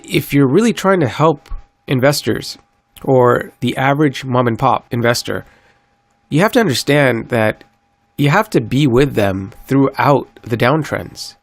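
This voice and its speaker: A man hosting a solo podcast, a little stuffy from a cold, talking in an earnest, upbeat sales-pitch way. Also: dry recording. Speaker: podcast host. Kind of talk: solo crypto podcast monologue.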